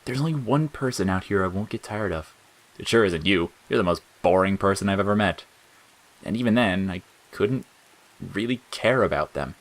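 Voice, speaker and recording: A man's voice: sits low, animated, normal speed, rough recording. Man voicing a sneering, spiteful little flower monster. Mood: bored, bitter. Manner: sarcastic, mocking, snappish. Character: sneering bitter talking flower, spiteful bored male monster. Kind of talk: audio fiction character acting.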